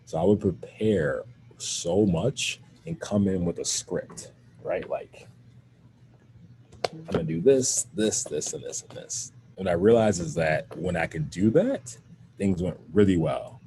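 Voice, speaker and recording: A man's voice: deep voice, animated, measured pace, warm tone, slight background noise, poor audio. A man who speaks with a stutter, giving a workshop talk to educators as a mental performance coach over a video call.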